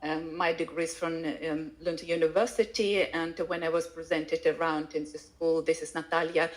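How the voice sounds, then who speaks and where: A woman speaking non-native English with a foreign accent, a language teacher giving a personal account haltingly, searching for words. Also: sits low, little pitch movement, poor audio. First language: not English. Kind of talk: personal account at a live online event.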